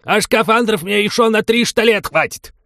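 A man's voice: high-pitched, moderate pace, vocal fry, fairly bright tone, dry recording, clean studio sound, pitched above the performer's own voice. A man voicing a belligerent, scornful old hermit grandpa in an audiobook story. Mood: scornful boasting. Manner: scornful, defiant.

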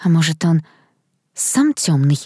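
A woman's voice: low-pitched, big pitch swings, unhurried, dark tone, husky, dry recording, exaggerated voice. Casting: woman narrator as nosy market salesman, scandal-mongering, unpleasant voice, audiobook style, very dry sound. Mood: nasty insinuation. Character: gossipy male shop seller with an unpleasant voice.

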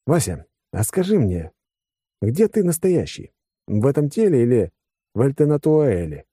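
A man's voice: medium pitch, sing-song, normal speed, warm tone, husky, dry recording, studio quality, voice pushed up. A man playing a wry, tipsy space officer who gives casual orders.

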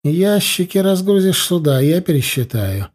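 A booming, commanding middle-aged warehouse boss woman, as a male audiobook reader puts her on. She barks orders in a husky, mellow voice that swings up high. It's a storybook part read aloud. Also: very animated, moderate pace. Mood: bossy.

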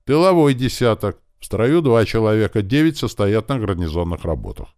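A man's voice: lowish voice, big pitch swings, normal speed, darkish tone, clean tone, dry recording, studio quality, pitched above the performer's own voice. A man voicing a military officer who reports formally in clipped phrases, in an audiobook story. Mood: formal, loud. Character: military deputy officer giving a crisp parade report.

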